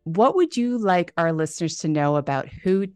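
A woman's voice: on the low side, animated, moderate pace, darkish tone, clear, resonant tone, faint music behind. A woman hosting a podcast, reading a scripted introduction and then asking interview questions in an upbeat way. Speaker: podcast host.

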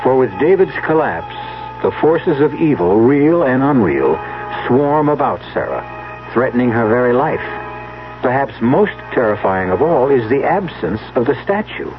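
A man hosting a suspense radio drama with ominous, theatrical, measured narration. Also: medium pitch, big pitch swings. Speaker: host and narrator. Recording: dull old radio broadcast recording. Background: music.

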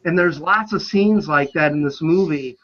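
Casting: male movie-podcast panelist, high-pitched, ardent and rambling, muffled recording. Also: normal speed, a bit bright. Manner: passionate, rambling fanboy enthusiasm. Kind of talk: casual film discussion.

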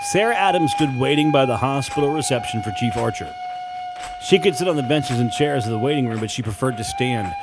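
A man reading a scripted audiobook story as its author and narrator. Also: medium pitch.

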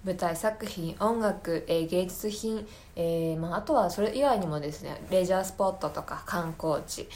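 A woman's voice: lowish voice, moderate pace, hardly any pauses, a little mellow, slight background noise. Thoughtful woman hosting a casual solo podcast. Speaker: podcast host. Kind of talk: casual solo recommendation talk.

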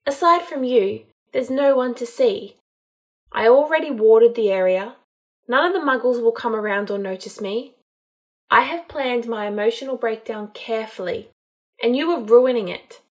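A bitter young witch played by a woman audiobook reader, drunk and tearful. She lashes out defiantly and emotionally in a raised, high voice. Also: normal speed, natural voice. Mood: angry, defiant. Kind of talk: dramatised audiobook narration.

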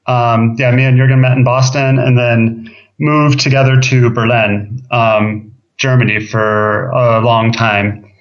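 A man from Ohio, a guest chatting casually in an interview, relaxed, with fillers and repeated words. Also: medium pitch, takes it slowly. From Ohio, USA. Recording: dull lo-fi sound.

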